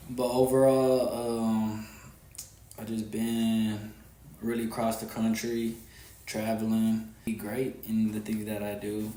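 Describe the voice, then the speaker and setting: Flat, laid-back young Texan man. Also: fairly low pitch, takes it slowly. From Houston, Texas. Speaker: podcast co-host. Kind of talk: casual podcast chat. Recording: echoey room with faint hum.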